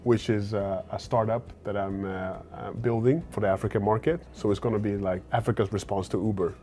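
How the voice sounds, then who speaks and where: Low-voiced earnest man in his forties. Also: fairly even intonation, dark tone, soft background music. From Sweden. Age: 40s. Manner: earnest, fills pauses with "uh" and repeats words while thinking. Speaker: startup founder, guest speaker. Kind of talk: fireside chat interview. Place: event stage at a fireside chat.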